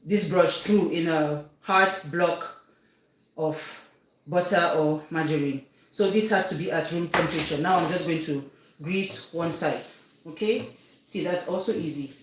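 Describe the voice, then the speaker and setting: A woman's voice: sits low, a little slow. A woman from Cameroon with a Cameroonian English accent, hosting a casual live baking demonstration and often checking in with her viewers. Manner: chatty, instructive, keeps checking in with viewers. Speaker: cooking livestream host. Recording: very echoey room, faint noise.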